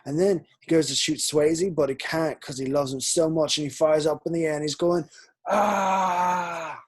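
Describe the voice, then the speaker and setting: A man's voice: fairly high pitch, steady tone, moderate pace, breathy edge. A man reading the part of an eager, naive young police constable who loves action films. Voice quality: eager, chatty.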